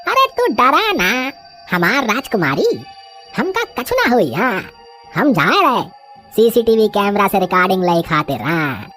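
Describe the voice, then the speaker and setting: A woman voicing a cocky, rustic village husband in an animated story, drawling with a Bhojpuri accent. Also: mid-range voice, a little slow, soft background music, pitched below the performer's own voice. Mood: carefree.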